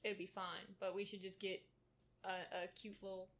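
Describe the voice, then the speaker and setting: A soft-spoken woman co-hosting a casual podcast from a kitchen table at home. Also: mid-range voice, flat delivery, normal speed. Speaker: podcast co-host. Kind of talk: casual chat.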